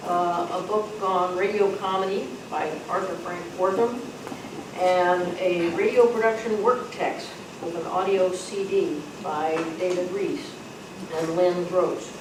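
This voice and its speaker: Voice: mid-range voice, fairly even intonation, unhurried. A club librarian reading out a list of donations at a meeting. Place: indoor club meeting room. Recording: echoey noisy room, far from mic.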